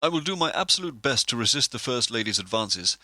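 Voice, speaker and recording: An actor playing a suave, pompous narrator in an audio sitcom, speaking formally and deadpan. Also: male voice, mid-range voice, a little fast, no room sound, full character voice. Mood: suave, self-assured. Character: suave, pompous male narrator who steps into the story.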